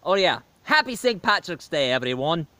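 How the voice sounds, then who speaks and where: Cheeky leprechaun reviewer voiced by a man, hammy Irish accent, fairly high, smooth voice, rambling with fillers. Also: big pitch swings, moderate pace, pushed and bright, no echo. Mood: jolly. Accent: put-on Irish. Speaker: movie reviewer.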